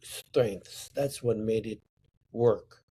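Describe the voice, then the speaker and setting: An older man from Sicily, telling stories from his life slowly and with emphasis. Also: on the low side, warm tone, a little hiss or hum. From Sicily, Italy. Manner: slow, deliberate, emphatic storytelling. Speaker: interview guest, artist. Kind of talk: reflective storytelling.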